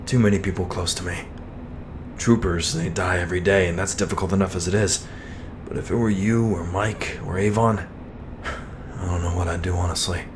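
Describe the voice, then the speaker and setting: An actor playing a weary, loyal, worrying trooper in a sci-fi audio drama, speaking in an earnest, subdued way. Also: male voice, low-pitched, steady tone, a little fast, noisy background, close to an everyday voice. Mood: worried, earnest.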